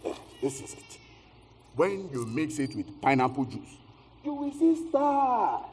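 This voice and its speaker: A man's voice: fairly high pitch, huge pitch swings, unhurried, a little mellow, a little hiss or hum. An actor with a West African accent playing a blustering, sweet-talking married man and shady dealer in a theatrical stage comedy-drama. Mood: secretive. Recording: echoey theatre hall, distant stage sound.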